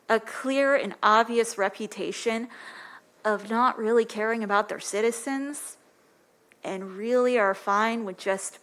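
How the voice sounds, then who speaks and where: A soft-spoken woman with a light voice gives an earnest, impassioned public comment at a city council podium microphone. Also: mid-range voice.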